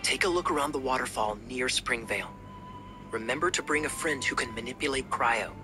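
A man's voice: medium pitch, brisk, lightly acted. Man acting a suave young knight over music. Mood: calm, assured. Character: suave young male knight, calm and sly. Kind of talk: video game dialogue acting. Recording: game music behind.

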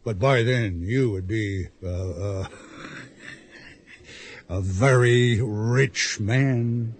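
A sly radio-drama villain who coaxes and threatens. His voice is husky and on the low side, and he takes his time, halting insinuatingly as he offers bribes. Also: exaggerated voice. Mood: sly coaxing. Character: menacing, coaxing male hijacker offering bribes.

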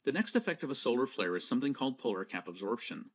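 Male narrator for a technical lesson: measured and precise, with a pitch that rises and falls a lot. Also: sing-song. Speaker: presenter. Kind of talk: scripted slideshow lecture.

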